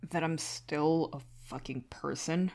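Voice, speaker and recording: A woman voicing a weary, bitter male alien troll who speaks in halting, terse fragments. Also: low-pitched, fairly even intonation, creaky, darkish tone, full character voice.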